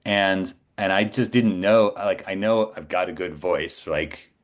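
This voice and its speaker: A man's voice: deep voice, steady tone, unhurried. A man in his forties speaking as an interview guest, telling anecdotes casually and with self-assurance. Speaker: podcast guest, voice actor.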